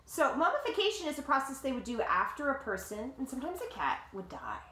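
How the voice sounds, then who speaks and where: A woman in her thirties reading a picture book aloud to children like a teacher, with dramatic, deliberate phrasing and simple explanations, recorded in a living room. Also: high voice, wildly swooping pitch, fairly bright tone. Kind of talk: expressive storybook read-aloud with explanatory asides. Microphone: camera or phone a short distance away. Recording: roomy home echo.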